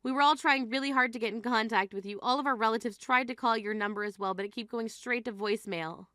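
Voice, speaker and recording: Woman acting exasperated high-voiced grieving sister. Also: fast talker, pushed and bright, no room sound, studio quality. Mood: reproachful. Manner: incredulous, reproachful. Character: exasperated grieving adult sister, indignant and scolding. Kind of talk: dramatized phone-call story dialogue.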